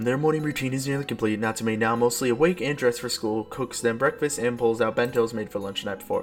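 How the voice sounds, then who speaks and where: Young man reading aloud over game music. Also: mid-range voice. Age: young adult. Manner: exclamatory, slangy asides. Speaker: let's play streamer. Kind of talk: reading a visual novel aloud with casual reactions. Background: background game music.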